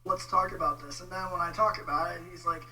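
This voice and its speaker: A man with a Texan accent giving an informal, halting, exasperated rant on a casual livestream. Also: sits high, steady tone, pushed and bright. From Texas, United States. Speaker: vlogger, religious debate commentator. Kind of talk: casual livestream rant replying to viewer comments. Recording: distant mic with faint noise and hum.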